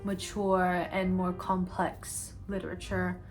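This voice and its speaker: A woman's voice: on the low side, completely flat delivery, slow pace, soft background music, low-quality recording. A woman in her twenties from Brazil, with a Brazilian accent in English learned as American English, chatting casually and rambling a little in a sit-down Q&A. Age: 20s. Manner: chatty, rambling, slang-heavy. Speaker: book vlogger.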